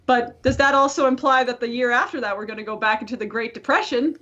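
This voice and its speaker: A woman's voice: on the high side, fairly even intonation, fast talker, a bit bright, dull audio. A woman co-hosting a podcast in casual chat, talking in a chatty, informal way and swearing freely. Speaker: podcast co-host.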